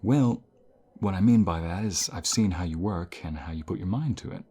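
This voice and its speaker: Man acting as a deep-voiced, gentle, caring professor. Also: normal speed, husky. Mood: caring, encouraging. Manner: calm, reassuring, gentle. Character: caring male university professor comforting a burnt-out student. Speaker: voice actor. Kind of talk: ASMR roleplay.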